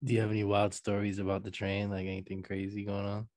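Low-voiced man asking interview questions. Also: monotone, a little mellow, dry recording. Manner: loose, halting questions with fillers. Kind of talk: oral history interview. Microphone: video call.

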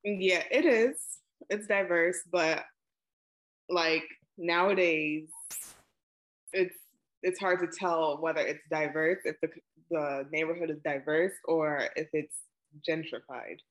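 A woman, answering questions in a relaxed oral history interview over a video call, with plenty of ums and likes. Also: low-pitched, slow pace, highs cut off. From Brooklyn, New York. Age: 20s.

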